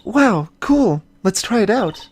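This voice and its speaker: A woman's voice: medium pitch, lively intonation, slow, darkish tone, a little hiss or hum. A woman voicing a cheerful, naive young female assistant in video game dialogue, with a playful, eager manner.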